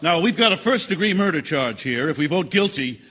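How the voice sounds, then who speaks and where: High, bright, unsure jury foreman. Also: animated, a little fast, husky. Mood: procedural, unsure. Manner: hesitant, polite, organizing. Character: fussy, polite, unsure male jury foreman. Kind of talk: stage radio drama acting.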